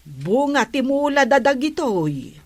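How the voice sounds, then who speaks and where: A woman's voice: high-pitched, very animated, a little slow, darkish tone, no echo, full character voice. A woman playing a village captain's patient but nagging wife in a comedy radio drama. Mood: polite, coaxing.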